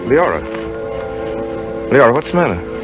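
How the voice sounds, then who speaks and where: A man's voice: mid-range voice, sing-song, moderate pace, lightly acted. A man playing an earnest, devoted research doctor in a 1930s radio drama. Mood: tender, concerned. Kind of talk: 1930s American radio drama acting, dialogue and first-person narration. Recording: vintage 1930s radio broadcast with music.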